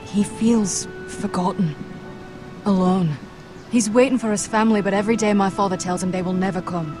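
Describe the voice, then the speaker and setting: An actress playing a compassionate young noblewoman in a fantasy game drama, speaking in a measured, careful, gentle way. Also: mid-range voice, close to an everyday voice. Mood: troubled. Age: young adult. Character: earnest, compassionate young noblewoman from a rival house. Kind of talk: dramatic video game acting. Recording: music behind the voice.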